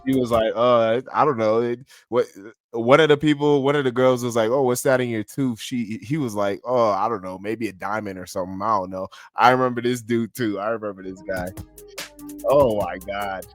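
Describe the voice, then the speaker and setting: A man's voice: medium pitch, steady tone, normal speed, no room sound, clean studio sound, faint music behind. A man with a congested nose giving casual, slangy reaction commentary as host of a video.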